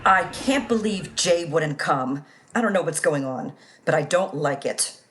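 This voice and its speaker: A woman's voice: sits low, lively intonation, measured pace, a bit bright. A woman acting a haughty, scheming society wife in a radio play, imperious and melodramatic. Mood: cold, uneasy.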